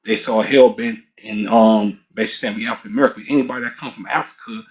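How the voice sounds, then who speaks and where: A man's voice: fairly low pitch, fast, lo-fi sound. A middle-aged man speaking up in an audio room, arguing assertively in a rambling, repetitive way. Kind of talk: casual heated argument.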